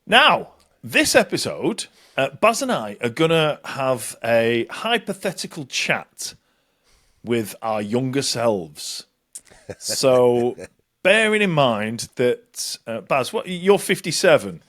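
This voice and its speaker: A man's voice: medium pitch, sing-song, slow, a bit bright. A man, co-hosting a casual podcast, joking, swearing and laughing a lot. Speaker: podcast co-host. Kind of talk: casual banter.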